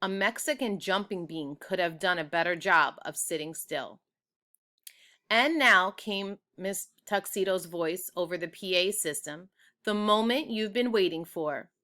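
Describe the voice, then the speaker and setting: A woman reading a children's book aloud, with expressive, deliberate storytelling. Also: fairly low pitch. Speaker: storybook reader.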